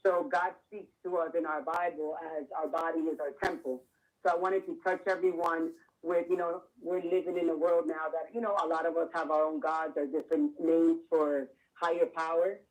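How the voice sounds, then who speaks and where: A woman's voice: sits low, moderate pace, rough recording. Earnest woman from Miami, interview guest. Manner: earnest, steady explanations with fillers. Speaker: clothing line founder, guest. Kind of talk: casual interview answers.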